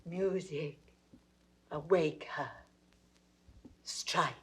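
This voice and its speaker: Slow, commanding British noblewoman. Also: sits low, fairly bright tone, heavily acted. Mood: incantatory awe. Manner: stately, incantatory, deliberate phrasing. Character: commanding, solemn noblewoman working a solemn wonder. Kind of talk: classical 1960s Shakespearean recorded drama acting.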